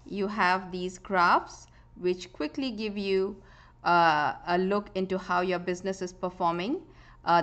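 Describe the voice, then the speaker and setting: A woman gives a relaxed screen-recorded walkthrough of a spreadsheet. Her voice sits in a middle pitch, and she talks in a steady, explanatory way with frequent "uh" fillers. Keyboard typing can be heard under her voice. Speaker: software tutorial presenter.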